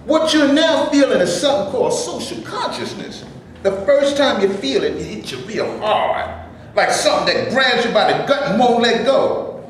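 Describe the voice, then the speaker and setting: The sound is heavily echoed with faint noise behind. A man plays a preachy, cautious union man on stage, explaining things colloquially with a lively swing in his pitch. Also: normal speed. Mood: encouraging. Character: frustrated, preachy but cautious male actor, union man. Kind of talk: staged play reading. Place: echoey room before a live audience. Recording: echoey room with noise, live audience recording.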